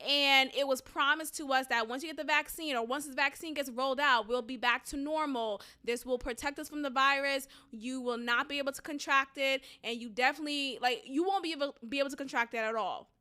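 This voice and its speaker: A high-voiced young woman with a Boston accent, hosting her own podcast. Her tone is bright and sharp, and she delivers her views with sarcasm and heavy emphasis. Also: brisk, few pauses. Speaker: podcast host. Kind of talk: solo opinion commentary podcast.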